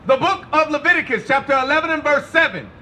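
A man reading Bible verses aloud in a loud, declamatory shout with drawn-out phrases. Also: very high-pitched, lively intonation, slight background noise. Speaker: scripture reader for a preacher.

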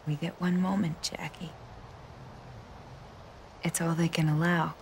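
Soft, sorrowful young sweetheart. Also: female voice, sits low, flat delivery, unhurried, a little hiss or hum. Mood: tender. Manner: soft, breathy, intimate. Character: gentle young woman, dead sweetheart, tender and sorrowful. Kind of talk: video game drama acting.

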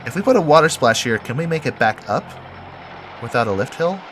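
A man's voice: mid-range voice, animated, brisk, a bit bright, slight background noise. A man with a head cold and a nasal, stuffy voice gives casual commentary while building in a game, thinking aloud and correcting himself. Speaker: game video creator.

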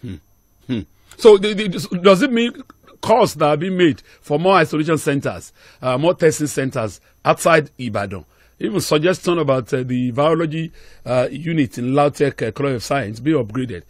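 Nigerian male radio host, middle-aged, slightly high voice, dry close-mic studio sound. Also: lively intonation, moderate pace, no echo. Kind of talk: live news interview. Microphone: close studio microphone on boom.

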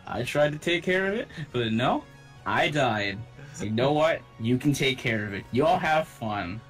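A man's voice: mid-range voice, lively intonation, moderate pace, fairly bright tone. A young man bantering casually with friends over a game, with a mock-exasperated manner. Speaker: player in a group gaming video. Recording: game music behind the voice.

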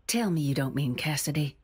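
A woman's voice: sits low, breathy edge, heavily acted. An actress playing a sly woman rogue with a wry, teasing manner.

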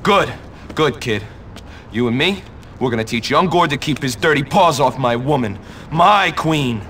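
Agitated, swooping teenage greaser boss. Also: male voice, medium pitch, expressive, a little slow, fairly bright tone, a little hiss or hum. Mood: scheming. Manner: agitated, melodramatic, tough-talking. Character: jealous, paranoid teenage greaser gang leader. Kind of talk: video game cutscene acting.

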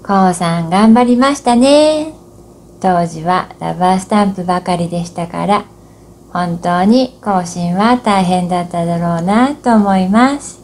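A woman's voice: on the low side, a little slow, darkish tone, slight background noise. A middle-aged woman giving scripted comments as the co-host of an instructional show. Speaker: co-host of an amateur radio Morse code channel.

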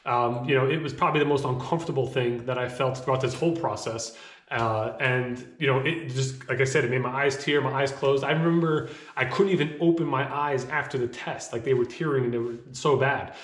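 A man in his thirties talking casually to camera from a small home office, rambling a bit with fillers, his voice slightly hoarse from a cough. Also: mid-range voice, fairly even intonation, fairly quick. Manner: conversational, rambling with fillers and restarts. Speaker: vlogger. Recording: echoey room.

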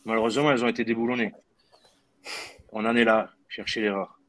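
A man's voice: lowish voice, level tone, quick pace, a bit bright, rough recording. A middle-aged man from southwest France talking on a video call, hesitant, with many fillers and repeated words. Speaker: guest, former footballer. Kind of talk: casual video-call discussion. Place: room at home. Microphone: video call webcam.

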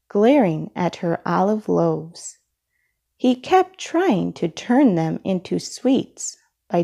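A woman reading a children's picture book aloud in a deliberate, expressive storytelling manner. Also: mid-range voice, creaky, breathy edge. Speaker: storybook reader.

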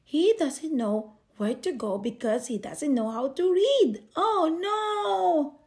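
A woman reading a children's picture book aloud expressively, with theatrical, drawn-out emphasis on key words. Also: sits high, expressive, darkish tone. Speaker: storybook reader.

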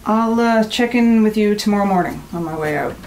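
An actress playing a guarded, blunt rental guest, speaking tersely and naturally in an audio drama. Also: female voice, medium pitch, fairly quick, a little mellow, slight background noise, close to an everyday voice. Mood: wary, reserved.

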